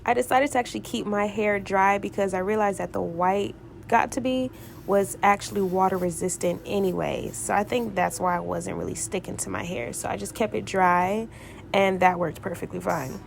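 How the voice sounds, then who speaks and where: A young woman with a stuffy, cold-thickened voice, doing a relaxed, casual voiceover. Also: medium pitch, normal speed, creaky, a little hiss or hum. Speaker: beauty vlogger.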